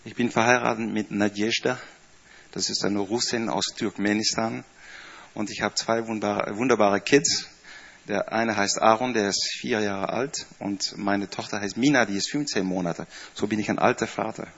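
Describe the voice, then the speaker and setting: A man in his fifties speaks German with a Dutch and French accent, addressing a congregation in a friendly, rambling way. He pauses often with fillers and small slips. The recording sounds a little dull. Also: fairly low pitch, fairly even intonation, creaky, fairly bright tone, slightly rough. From Netherlands. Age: 50s. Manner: chatty, hesitant with many fillers, small grammar slips. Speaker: church staff member giving a greeting. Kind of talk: informal speech to a congregation.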